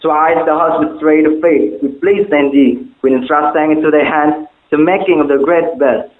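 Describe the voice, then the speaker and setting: A man's voice: a little high, steady tone, normal speed, natural voice. A man playing a stern, anxious bell-maker father in a formal, declamatory stage drama. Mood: grave, urgent. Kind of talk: amateur stage-play dialogue, formal archaic English. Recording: muffled, phone-like, echoey room.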